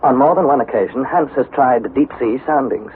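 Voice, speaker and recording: A man's voice: mid-range voice, normal speed, natural voice. An actor playing a fearful young male explorer, narrating his expedition journal dramatically in a 1960s radio drama. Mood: awed. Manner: dramatic, suspenseful, articulate delivery. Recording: tinny, hissy vintage 1960s radio recording.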